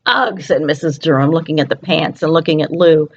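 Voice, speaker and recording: A woman's voice: low-pitched, little pitch movement, brisk, a little mellow, highs cut off, a little hiss or hum. A woman storyteller reads a picture book aloud to young children, expressively, often putting questions to her listeners.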